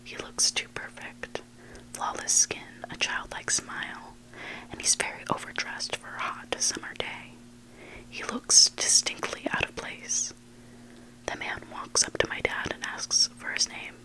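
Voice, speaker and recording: An ASMR storyteller who reads entirely in a soft, breathy whisper. The delivery is hushed and deliberate, moving through a scripted story in short, separate phrases. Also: rough recording. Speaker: ASMR reader of online stories.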